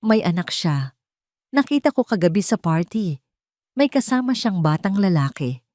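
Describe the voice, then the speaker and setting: An older, wealthy, scheming matriarch in an audio drama, put on by a woman storyteller. Her delivery is calm but threatening and full of resolve. The recording is dry. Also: mid-range voice, normal speed, dry recording, close to an everyday voice. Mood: calm, menacing. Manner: calm, menacing, determined.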